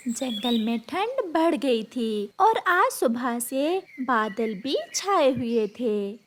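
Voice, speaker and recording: A woman tells a children's story in a high voice at an unhurried, slow pace. Some background noise runs under the narration.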